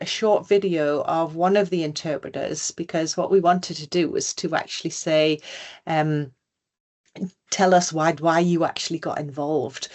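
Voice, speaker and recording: Low-voiced woman presenting on a webinar. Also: a little mellow. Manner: conversational, many fillers and restarts. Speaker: grief educator presenting on a webinar. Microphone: video call.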